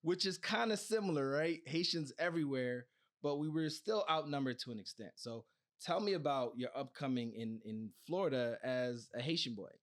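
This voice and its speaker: A man's voice: medium pitch, animated, normal speed. A man with an American accent, hosting a podcast as a conversational interviewer. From Brooklyn, New York, United States. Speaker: podcast host interviewing a guest.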